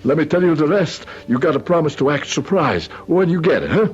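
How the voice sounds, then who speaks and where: High-voiced, excitable old shopkeeper. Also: male voice, slightly rough. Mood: eager, confiding. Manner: effusive, halting, repeats himself, pleading. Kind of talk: old-time radio drama acting. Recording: muffled vintage radio drama recording.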